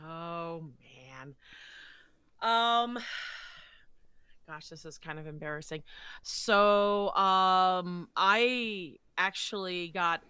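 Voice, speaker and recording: A woman's voice: on the low side, extremely slow, long pauses, sharp tone, clear, resonant tone, no echo. A woman talking casually as an interview guest, earnest and a little hesitant. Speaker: podcast guest and voice actor.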